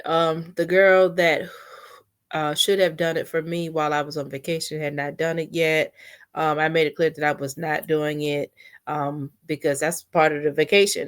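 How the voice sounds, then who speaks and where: A woman chatting casually in a rambling, unscripted vlog, sounding a little congested, on a built-in computer mic. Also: deep voice. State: mildly congested, sniffly. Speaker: vlogger practicing for a podcast. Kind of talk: casual personal vlog chat. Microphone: built-in computer mic, no external microphone.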